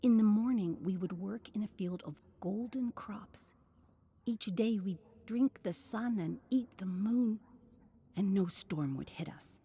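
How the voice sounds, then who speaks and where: A woman voicing a tender, desperate young woman in a story, gentle, wistful and half-whispered. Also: mid-range voice, measured pace, breathy edge, pitched above the performer's own voice. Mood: soothing, dreamy. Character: tender, desperate young woman comforting her frail brother.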